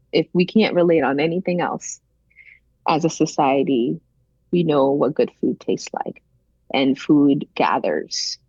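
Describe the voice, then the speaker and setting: A woman's voice: deep voice, a little mellow, husky, no echo, highs cut off. A woman interview guest telling her personal story in a reflective, emphatic way, repeating phrases for weight. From Benin, later grew up in the United States.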